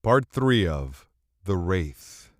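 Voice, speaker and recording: A man's voice: low-pitched, big pitch swings, extremely slow, background noise. A man reading dramatic scripted narration in the manner of a pulp radio serial.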